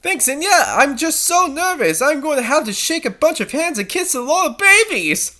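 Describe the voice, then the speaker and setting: A woman puts on a fourteen-year-old fan fiction princess, bossy and nervous at once. The voice is raised high and swings widely, with theatrical exclamations and a bright edge. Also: big pitch swings, normal speed, exaggerated voice. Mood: earnest, nervous.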